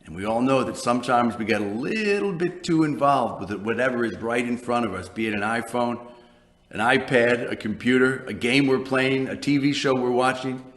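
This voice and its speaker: A man's voice: mid-range voice, normal speed, fairly bright tone. A man introducing a story hour to young children, chatty and full of rhetorical questions. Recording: roomy, echoey recording.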